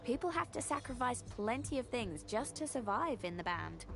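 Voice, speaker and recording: A woman's voice: fairly high pitch, very animated, normal speed, vocal fry. An actress playing a resourceful, composed young woman agent with a wry, instructing manner in a video game. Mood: wry, candid. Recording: game music behind the voice.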